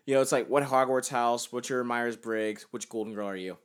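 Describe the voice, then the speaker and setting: Twenties man, podcast co-host, loose swearing banter, average pitch. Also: steady tone, fairly quick, clean tone. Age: 20s. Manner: joking, profane, rambling. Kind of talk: casual chat.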